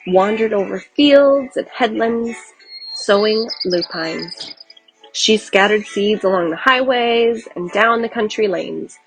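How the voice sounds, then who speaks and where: A woman's voice: medium pitch, poor audio. Woman reading a storybook aloud over music. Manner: expressive, careful storytelling. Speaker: children's book read-aloud narrator. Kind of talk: scripted storybook narration.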